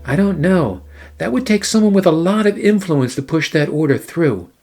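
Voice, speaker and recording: A man's voice: on the high side, lively intonation, moderate pace, darkish tone, soft background music. A man playing a genial army captain in a noir radio play, familiar and matter-of-fact like an old buddy.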